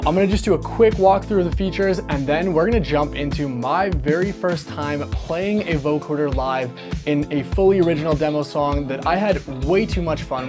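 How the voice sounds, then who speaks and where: A man with a slightly high voice talks through music gear in a casual way. He is chatty and enthusiastic, close on a studio mic, with music playing behind him. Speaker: host of synth demo channel. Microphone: close studio condenser mic.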